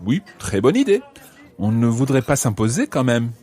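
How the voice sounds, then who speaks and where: A courteous male holidaymaker acted by a man in a language-course radio play. His mid-pitched voice swings expressively, and his manner is considerate and apologetic. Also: huge pitch swings, normal speed, a little mellow, slightly rough. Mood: polite, considerate. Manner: polite, apologetic. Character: courteous, slightly reserved male holidaymaker among new friends.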